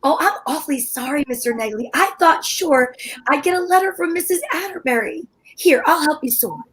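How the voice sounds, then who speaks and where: A woman's voice: sits high, animated, normal speed, a bit bright. A woman playing an anxious, pushy housewife in old time radio comedy style, insistent and impatient.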